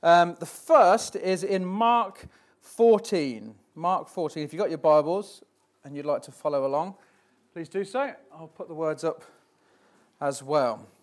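Man, slightly high voice, hesitant, self-deprecating, church sermon, bible reading. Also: a little slow. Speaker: stand-in preacher. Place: church platform before a congregation.

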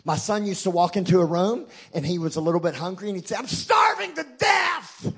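Texan male preacher, slightly high voice, husky, punchy and playful with the crowd. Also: fast, darkish tone. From Texas. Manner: emphatic, joking, call-and-response with the crowd. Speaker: guest preacher. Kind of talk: sermon.

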